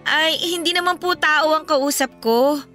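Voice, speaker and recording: An actress playing a meek, naive young housemaid in a Filipino radio drama, with a polite, plaintive manner and a Filipino accent. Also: female voice, high voice, a little slow, pushed and bright. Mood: innocent, puzzled. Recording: background music under voice.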